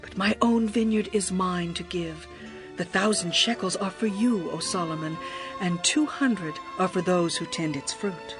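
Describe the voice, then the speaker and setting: A woman playing a passionate, devoted young bride in a dramatized scripture reading, with a tender, expressive, poetic delivery. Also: on the low side, measured pace, over music, natural voice. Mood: passionate devotion.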